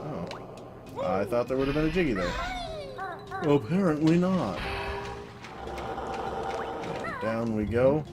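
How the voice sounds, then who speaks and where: A woman talking through solo gameplay commentary in a casual, monotone, self-deprecating way, swearing now and then. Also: very deep voice, slow pace, pauses often. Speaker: let's play commentator. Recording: game music loud behind voice. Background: video game music.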